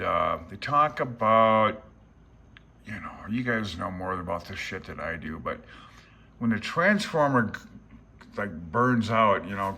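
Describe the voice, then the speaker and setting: A man's voice: fairly low pitch, bright, forceful tone. A man around his sixties giving casual, rambling commentary on a handbook as a hobbyist host, with joking, irreverent asides. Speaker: amateur radio video host.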